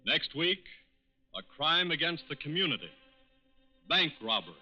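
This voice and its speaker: A man with an American accent reading a sponsor's announcement in the formal, measured, declamatory style of 1940s radio. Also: fairly high pitch, very animated, loud music behind the voice. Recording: muffled 1940s radio recording.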